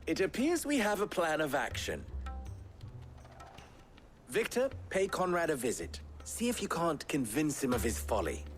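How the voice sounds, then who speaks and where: A man playing a shrewd, measured town leader in a medieval fantasy game, speaking in a deliberate, wry, theatrical way. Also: a little high, very animated, soft background music. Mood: resolved. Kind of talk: dramatic video game cutscene dialogue.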